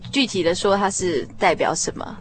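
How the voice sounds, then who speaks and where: A woman's voice: on the low side, moderate pace. A woman with a Taiwanese Mandarin accent hosts a conversational radio interview, often chiming in with short agreeing interjections. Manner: frequent affirming interjections. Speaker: radio programme host interviewing a guest. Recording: music behind the voice. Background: background music.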